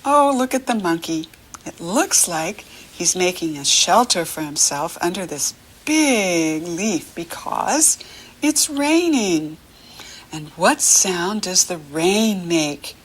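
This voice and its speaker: Husky, slow-paced American woman teaching children. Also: lowish voice, very animated. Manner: encouraging, instructive, simple short phrases with pauses. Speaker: reading teacher for young children. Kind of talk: children's picture-book lesson, talking directly to the child.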